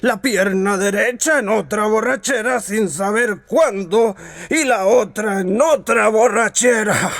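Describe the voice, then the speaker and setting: An actor playing a boastful, bitter, legless blind beggar in a radio drama, declaiming in a gravelly voice. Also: male voice, high-pitched, dry recording, clean studio sound, exaggerated voice. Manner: blustering, drawn-out declamation.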